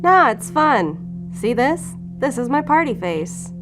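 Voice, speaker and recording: A woman's voice: high-pitched, very animated, slow pace, music in the background, exaggerated voice. An actress playing a guarded, sarcastic female agent in an audio drama, speaking bluntly and casually in a naturalistic way. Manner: sardonic, deflecting, casual and blunt.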